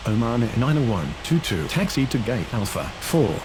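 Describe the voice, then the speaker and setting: A man's voice: mid-range voice, sing-song, moderate pace, lightly acted. A man voicing a formal airport radio operator in an aviation game, with clipped, scripted call-outs. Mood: formal, brisk. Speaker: air traffic radio voices in a game. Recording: very noisy, roaring engine-like background.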